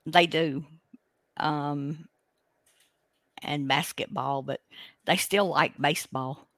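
Croaky woman joking as co-host. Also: deep voice, steady tone, slow pace, a bit bright, no echo, clean studio sound. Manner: jokey, playful, self-mocking. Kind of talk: casual podcast chat.

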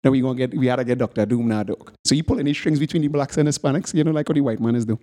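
A man hosts a casual podcast, rattling along quickly in a mellow, dark voice. He keeps his voice low and doesn't project, tossing out profane banter with his friends. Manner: talks low and does not project. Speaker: podcast host.

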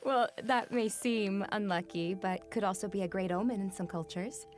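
A woman's voice: medium pitch, normal speed, soft background music, close to an everyday voice. A woman playing a young, alluring tarot reader in a naturalistic audio drama, with a teasing, mystical manner. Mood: calm, mystical. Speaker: actress.

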